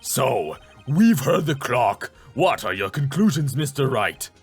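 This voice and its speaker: A man's voice: medium pitch, sing-song, slow pace, breathy edge, faint music behind, full character voice. A man voicing a stern, solemn elderly male judge in a video game, speaking with ponderous authority. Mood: solemn, inquiring. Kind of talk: video game character dub.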